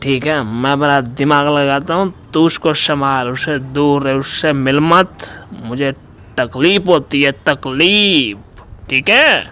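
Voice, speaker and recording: A man's voice: medium pitch, slow pace, pushed and bright. A man playing a boastful, scheming lover with a Rajasthani accent in a mimicked phone-call comedy skit. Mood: scheming, tender. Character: swaggering, scheming lover wooing a married woman.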